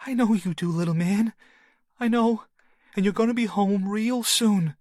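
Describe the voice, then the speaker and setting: A firm, protective woman talks with steady, no-nonsense resolve, as a male narrator puts her on. Her voice is pitched well above the reader's natural range. The recording is close and dry. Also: measured pace, no room sound. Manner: firm, reassuring.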